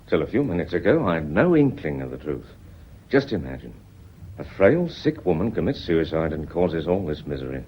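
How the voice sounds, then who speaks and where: A man playing a shrewd, courteous British police inspector in a radio drama, speaking in a measured, deferential, explanatory way. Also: low-pitched, husky, exaggerated voice. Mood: deliberate, probing. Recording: dull, lo-fi old radio recording.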